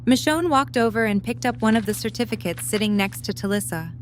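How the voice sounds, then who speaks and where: A woman's voice: a little high, a little fast. A woman narrating a scripted story as the narrator of a fan audio drama. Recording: light noise and hum.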